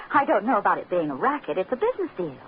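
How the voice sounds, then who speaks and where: An actress playing an earnest, anxious secretary in a 1940s radio drama, speaking with expressive concern. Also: female voice, medium pitch, a little fast, natural voice. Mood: worried, earnest. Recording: hissy, narrow old-time radio recording.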